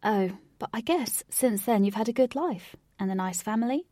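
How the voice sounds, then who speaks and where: A woman's voice: on the high side, lively intonation, normal speed, dark tone, no echo, studio quality. A woman voicing an awkward, earnest, self-doubting young girl in a storybook reading. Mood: awkward, curious.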